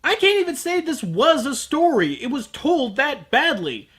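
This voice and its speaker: A man's voice: very high voice, sing-song, normal speed, fairly bright tone. A man narrating a sarcastic, profane anime review, ranting about what he watched.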